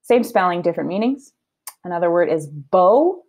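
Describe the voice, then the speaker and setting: A woman speaks as a teacher leading a read-aloud for children. Her pitch moves around in an animated way as she explains her thinking, and she keeps checking in with short questions. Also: normal speed.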